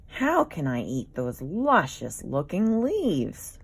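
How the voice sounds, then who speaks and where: Woman as a moaning, lazy Lystrosaurus, slow and loud. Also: deep voice, wildly swooping pitch, creaky, slightly rough, full character voice. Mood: miserable, pleading.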